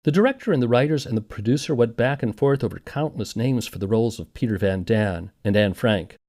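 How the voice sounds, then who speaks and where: A man's voice: medium pitch, animated, a little fast, darkish tone. A man narrating a scripted documentary podcast as its host and interviewer.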